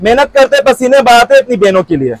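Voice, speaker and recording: A man's voice: extremely high pitch, big pitch swings, quick pace. A man in his thirties with a Pakistani accent, giving emphatic, repetitive sales patter as a clothing shopkeeper. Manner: emphatic, repetitive selling talk. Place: fabric shop in an indoor market. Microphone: lapel mic.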